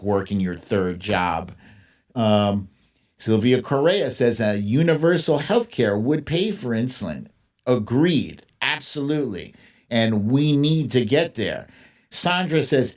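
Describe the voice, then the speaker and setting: A man with a mid-pitched voice hosts a casual political livestream. He talks at an unhurried, slow pace in an informal, opinionated style. He stresses his points emphatically, often by saying a phrase again. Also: darkish tone. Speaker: livestream host. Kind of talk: casual opinionated political commentary, reading and answering viewer comments.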